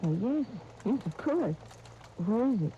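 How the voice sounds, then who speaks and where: A level-headed, guarded young heroine of a 1930s radio serial. Her voice is very soft-edged and warm. The old recording is muffled, with slight hiss. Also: on the high side, sing-song, measured pace. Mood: polite, surprised.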